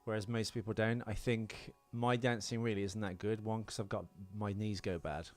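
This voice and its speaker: A man's voice: fairly low pitch, fairly even intonation, normal speed. A middle-aged British man co-hosting a podcast, chatting casually about films in an opinionated, jokey, sweary way. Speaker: podcast co-host. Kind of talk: casual film chat.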